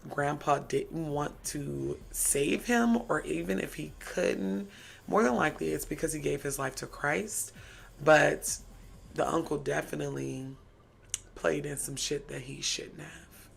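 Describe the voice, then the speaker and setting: A woman's voice: deep voice, measured pace, gravelly, a little hiss or hum. An American woman co-hosting a livestream, blunt and conversational as she introduces video clips. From United States. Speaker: co-host. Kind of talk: livestream commentary.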